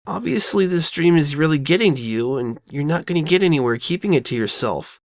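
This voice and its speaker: A woman voicing a loyal, reassuring young boy dragon who talks plainly and gently insists, in an audio drama. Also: low voice, lively intonation, brisk, dry recording, studio quality. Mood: earnest, insistent. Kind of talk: all-dialogue fan audio drama.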